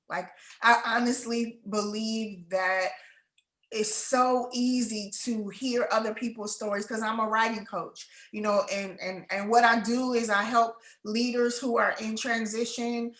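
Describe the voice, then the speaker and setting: A woman hosting a conversation, a writing coach, speaking in a heartfelt, halting way. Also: fairly high pitch, a bit bright. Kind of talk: casual conversation. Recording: echoey room.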